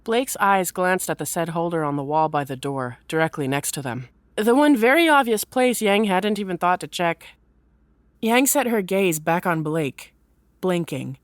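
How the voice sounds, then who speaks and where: A woman narrates a story from a script with an expressive storyteller's delivery. Her pitch is mid-range, and the sound is very dry, free of any room. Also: no echo.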